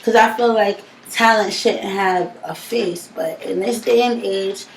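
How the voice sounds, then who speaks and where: Husky New woman in her twenties. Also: medium pitch, unhurried. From New York City. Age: 20s. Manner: earnest, heartfelt, talks over her partner. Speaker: aspiring musician. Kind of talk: casual appeal to camera. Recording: echoey room from far off with faint noise.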